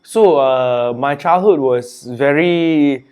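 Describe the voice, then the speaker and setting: A young adult man from Singapore, an author and entrepreneur speaking as a guest in a casual interview, relaxed and chatty, often saying "you know" and "man". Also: fairly high pitch, slow pace, clean tone.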